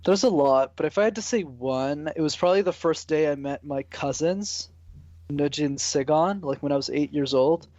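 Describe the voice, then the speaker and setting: A man from Canada answering interview questions casually and relaxed, using mild slang. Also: fairly high pitch, normal speed, no room sound, dull audio. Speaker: guest, public speaking coach.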